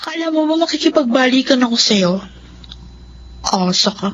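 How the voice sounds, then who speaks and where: A very high, girlish voice over clear background noise: a stubborn teenage daughter played by a woman. She is snappy and combative, wounded underneath, in radio-drama style. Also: lively intonation, normal speed, muffled recording. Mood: sassy, irritated. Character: hurt, defiant teenage daughter, sharp-tongued and stubborn. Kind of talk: audio drama acting.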